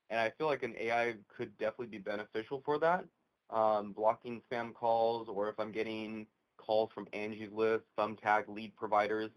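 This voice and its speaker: A man with a fairly low voice speaks in casual conversation. He asks questions as a business owner, in a hesitant, halting way. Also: rough recording.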